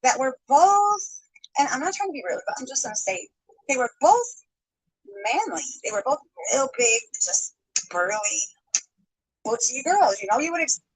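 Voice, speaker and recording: A woman's voice: high-pitched, very animated, normal speed, slightly rough, low-quality recording. A woman telling a rambling story, hedging often and breaking into little laughs. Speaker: storytime YouTuber.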